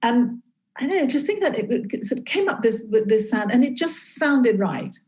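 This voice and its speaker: A woman who grew up in East Africa, a game inventor talking as a guest in a casual interview, halting with many restarts and laughing often. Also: on the high side, very animated, a little mellow.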